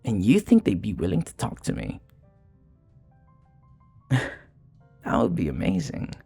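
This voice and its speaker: A man's voice: low-pitched, huge pitch swings, measured pace, gravelly, warm tone, slightly rough, soft background music, exaggerated voice. A man playing a charming, sincere vampire bartender who flirts tenderly and hesitantly in an intimate ASMR roleplay. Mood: hopeful, grateful.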